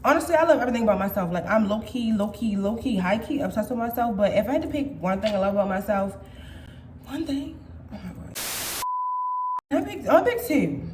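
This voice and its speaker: A woman's voice: fairly high pitch, lively intonation, brisk, a little mellow, echoey room, a little hiss or hum. A woman in her twenties chatting casually and playfully to her viewers as a vlogger, swearing freely. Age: 20s. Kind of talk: casual chat.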